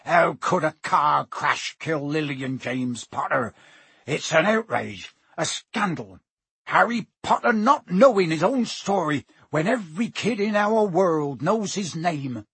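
A big-hearted, blustering giant from a storybook. His voice is very rough and husky, recorded close and dry. He talks in rustic, halting phrases that rise and fall dramatically. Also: fairly high pitch, big pitch swings, dry recording, full character voice. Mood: outraged. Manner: rustic, colloquial, halting speech. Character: gruff, warm-hearted giant man, blustering and anxious.

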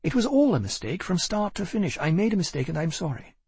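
A man's voice: high-pitched, very animated, fast, a little mellow, breathy edge, no room sound, pitched above the performer's own voice, exaggerated voice. A man reading aloud, voicing a cold, weary young woman who speaks in clipped, dismissive lines. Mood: weary, bitter.

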